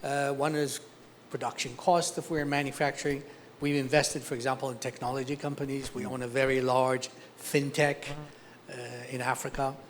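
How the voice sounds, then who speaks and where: A man's voice: mid-range voice, little pitch movement, normal speed, a little hiss or hum. A man originally from Ethiopia, speaking as an investor on a conference panel and explaining his points through concrete, practical examples. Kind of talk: panel discussion answer.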